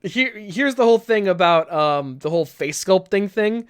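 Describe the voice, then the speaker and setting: Young man, slightly high voice, smooth clear tone, dry close sound, laid-back gaming chat. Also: expressive, normal speed, dry recording. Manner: rambling, laughs often, repeats words while restarting. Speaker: podcast host.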